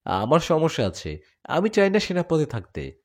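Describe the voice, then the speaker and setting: A man's voice: on the high side, huge pitch swings, moderate pace, pitched above the performer's own voice. A man voicing a devoted young male jinn warrior who pleads and argues in a spoken story. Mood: earnest. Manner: earnest, pleading.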